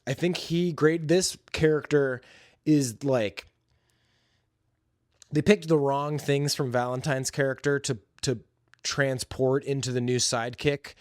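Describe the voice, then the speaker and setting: A man's voice: mid-range voice, dry recording, clean studio sound. A man hosting a podcast, giving an informal, opinionated and enthusiastic movie review in casual, slangy talk. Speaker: podcast host.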